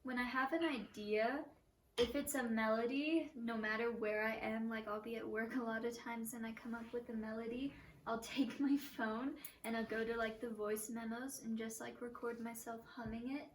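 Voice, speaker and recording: A woman's voice: a little high, fairly even intonation, moderate pace, recorded from a distance, slight background noise. Young woman, echoey and off-mic. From Portland, Oregon. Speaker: guest musician. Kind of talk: casual chat. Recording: echoey room, a bit off-mic.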